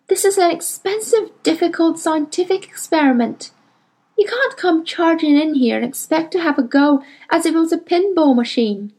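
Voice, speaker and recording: Woman reader plays a worn-out scientist woman, high-pitched and clipped, skeptical, bouncing between highs and lows. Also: darkish tone, full character voice. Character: tired, brisk adult woman scientist.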